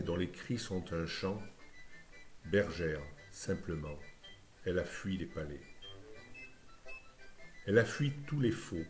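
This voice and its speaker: A man with a very low voice recites poetry at a slow pace. His phrasing is lyrical and measured, set out line by line. Soft music plays behind him.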